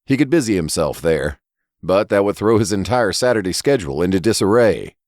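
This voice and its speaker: Man, fiction narration, deep pitch, close dry sound, deliberate and expressive. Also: dry recording. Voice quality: low-pitched voice. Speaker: story reader and podcast narrator. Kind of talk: scripted fiction narration, audiobook reading.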